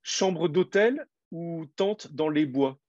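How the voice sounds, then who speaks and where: A man who teaches French, hosting an interview and asking quiz questions clearly and encouragingly. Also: on the high side, lively intonation, slow, a little mellow, dry recording, dull audio. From France.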